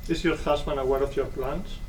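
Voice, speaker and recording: A man's voice: mid-range voice, fairly even intonation, brisk, natural voice. A man playing a patronizing, self-assured American embassy official in a dramatic reading of a stage play. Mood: formal. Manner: patronizing, formal. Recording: distant microphone, faint room noise.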